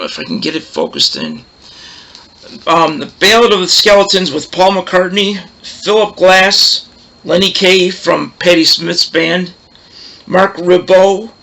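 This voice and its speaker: A man with a big, loud, roaring voice hosting a casual record collection show, chatting in a rambling way and losing his train of thought. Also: high-pitched, gravelly, low-quality recording. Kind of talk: casual show-and-tell chat.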